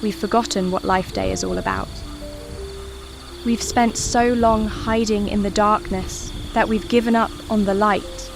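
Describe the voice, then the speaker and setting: A woman playing an earnest, excitable and brave young girl in a dramatized audiobook. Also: mid-range voice, measured pace, noisy background, natural voice. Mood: earnest, reassuring.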